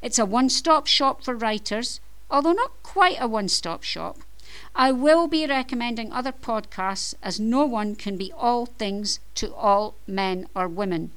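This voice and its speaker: A woman's voice: fairly high pitch, expressive, measured pace, fairly bright tone, clean tone. A middle-aged Scottish woman with a Scottish accent hosting her own podcast, reading a scripted introduction in an upbeat, chatty way with playful self-deprecating asides. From Scotland. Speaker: podcast host and author.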